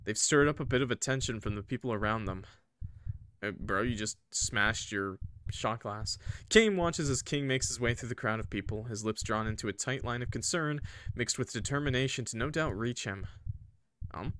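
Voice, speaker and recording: A man's voice: medium pitch, moderate pace, fairly bright tone, no room sound. A man reading a visual novel aloud as a streamer, mixing narration with informal, sweary, joking asides to viewers. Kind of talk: let's-play narration mixed with casual chat to viewers.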